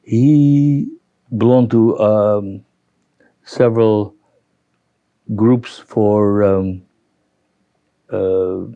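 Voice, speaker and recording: An older man with a Brooklyn accent reminiscing as an interviewee, halting and rambling as he recalls. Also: fairly low pitch, steady tone, dark tone, no room sound, dull audio. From New York, United States. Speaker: interviewee, retiree. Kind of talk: reminiscing in an oral history interview.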